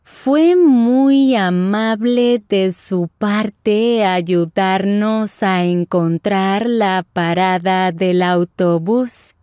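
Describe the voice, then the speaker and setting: A woman voicing Isabella, a friendly young traveler in a scripted lesson dialogue, speaking with clear careful enunciation. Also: mid-range voice, extremely slow, hardly any pauses, darkish tone, no room sound, studio quality. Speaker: voice actor in language lesson dialogue. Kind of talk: scripted slow and normal-speed lesson dialogue.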